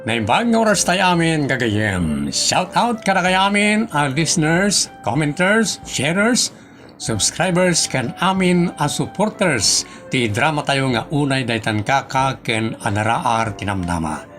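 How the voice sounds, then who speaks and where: Animated Ilocano male announcer. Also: fairly high pitch, a little slow, faint music behind. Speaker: drama programme announcer. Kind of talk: scripted radio-style introduction.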